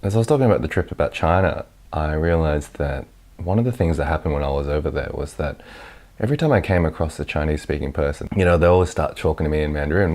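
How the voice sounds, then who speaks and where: A man's voice: very deep voice, darkish tone. A young Australian man telling stories in a casual, conversational vlog. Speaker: vlogger.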